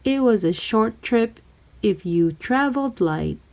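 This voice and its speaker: A woman's voice: a little high, animated, takes it slowly, a little mellow. A woman reading a children's story aloud, expressively acting out characters and sound words. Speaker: storybook reader.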